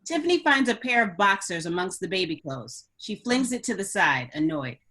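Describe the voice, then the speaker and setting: A woman narrator reads out scene headings and action from a screenplay. Her voice is of medium pitch, in the scripted style of a table read. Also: sing-song, moderate pace, bright, forceful tone. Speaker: narrator reading stage directions.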